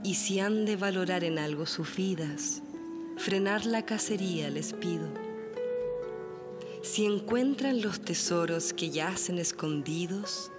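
A woman playing a solemn old village matriarch in a radio drama, grave and pleading as she warns her people. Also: on the low side. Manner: grave, slow, pleading storytelling. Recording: loud music under the voice.

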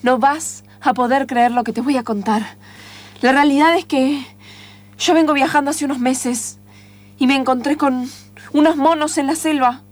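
A woman acting a naive, excitable young city traveler in improvised radio theatre, with an Argentine accent and a melodramatic, exclamatory delivery. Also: high voice, moderate pace, close to an everyday voice. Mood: earnest.

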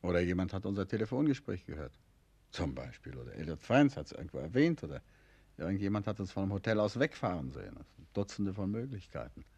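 An actor playing a calm, suave amateur detective husband in a 1950s German radio play, conversational and wry. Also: male voice, deep voice, fast, gravelly, slightly rough, heavily acted. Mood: concerned, pondering. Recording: noisy 1950s radio drama recording.

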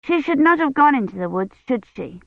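A woman's voice: big pitch swings, a little fast, heavily acted. A woman voicing an eager, insistent little girl in a storybook, with a small childlike voice. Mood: disapproving. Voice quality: small, breathy. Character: eager little girl prompting and judging a bedtime tale. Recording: roomy, muffled low-fidelity audiobook.

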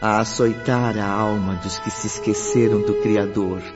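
A man narrating a radio drama in a solemn, measured way. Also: lowish voice, fairly even intonation. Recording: dull lo-fi audio with music bed.

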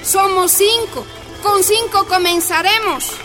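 High-voiced, determined Bolivian woman activist. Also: slow, sharp tone, faint music behind, exaggerated voice. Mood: resolute. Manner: insistent, emphatic. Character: determined, impatient miners' wife leading women activists. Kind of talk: radio drama acting.

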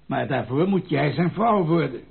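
An actor playing an aging, anxious grandfather and general in a Dutch radio play, pleading in a gravelly older voice. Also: a little high, expressive, moderate pace. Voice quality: creaky, gravelly. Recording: narrow-band tinny radio play recording.

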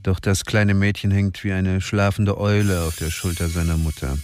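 A man narrating a radio crime drama in a measured storytelling voice. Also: low-pitched, little pitch movement, a little mellow, faint music behind. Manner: measured, present-tense storytelling.

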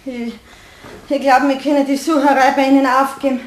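A woman's voice: high voice, level tone, a little fast, lightly acted. A woman playing a worried mother with a Bavarian accent in a children's radio play. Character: worried Bavarian mother searching earnestly, adult female customer.

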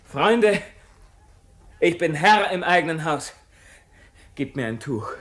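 A proud and volatile king in a theatrical radio drama. He speaks at a slow, commanding pace, his pitch leaping from brooding lows to defiant outbursts. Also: animated, fairly bright tone, full character voice. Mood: triumphant. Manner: commanding, declamatory, with sudden outbursts. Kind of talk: theatrical German radio play acting.

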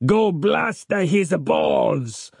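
A man's voice: high-pitched, huge pitch swings, unhurried, husky, no echo, clean studio sound, voice pushed up, full character voice. A man voicing a hot-tempered, melodramatic Italian father who runs a restaurant, with an Italian accent, in an audiobook. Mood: vengeful. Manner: melodramatic, exclamatory. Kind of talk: audiobook character voice.

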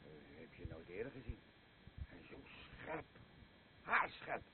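An actor playing a boastful, crude and rambling drunken old war veteran in a radio play, with a husky voice. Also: male voice, low-pitched, big pitch swings, background noise. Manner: slurring, rambling, halting, blustering. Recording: muffled lo-fi radio play recording.

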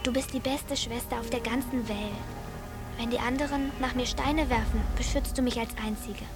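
A woman's voice: on the high side, steady tone, normal speed, music in the background, natural voice. A woman playing an innocent, trusting little peasant girl in a radio drama, naive and childlike. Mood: trusting, eager. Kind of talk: German radio drama acting.

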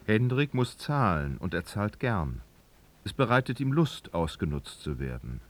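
A man's voice: fairly low pitch, very animated, unhurried, soft background music. A man narrating a literary radio drama, reading expressively and dramatically.

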